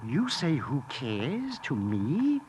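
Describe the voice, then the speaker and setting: An actor playing a fussy, pedantic chemistry professor, exasperated and self-important, in a 1950s radio skit. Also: male voice, medium pitch, wildly swooping pitch, takes it slowly, a little mellow. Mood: indignant. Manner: fussy, indignant, precise. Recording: noisy vintage radio recording.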